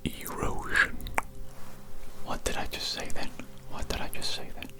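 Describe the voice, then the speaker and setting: A person whispering softly through an ASMR roleplay as a hearing test examiner, slow and soothing, with repeated gentle praise.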